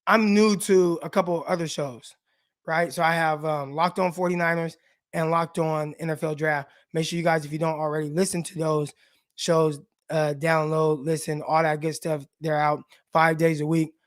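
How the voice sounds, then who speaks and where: A man with a raspy voice hosting a casual live sports show, talking loosely and with slang straight to his viewers. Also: a little high, level tone, normal speed, no room sound, clean studio sound. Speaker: sports podcast host.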